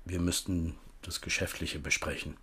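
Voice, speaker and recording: An actor playing an evasive middle-aged tenant farmer who talks business in a smooth, guarded way in a radio play. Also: male voice, deep voice, flat delivery, moderate pace, bright, forceful tone, exaggerated voice. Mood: businesslike. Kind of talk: 1970s radio play acting.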